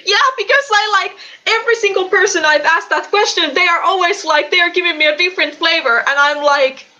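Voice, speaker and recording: A woman's voice: extremely high pitch, fairly quick, bright, forceful tone, dull audio. A young woman from Finland with a Finnish accent, chatting casually on an animation livestream and filling her talk with "like" and "uh". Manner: chatty, many fillers, laughs often.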